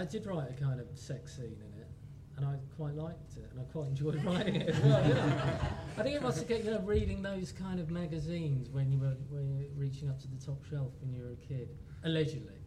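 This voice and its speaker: A man speaking casually and candidly as a novelist on a panel, rambling as he goes. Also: a little high. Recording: distant mic, noisy live room.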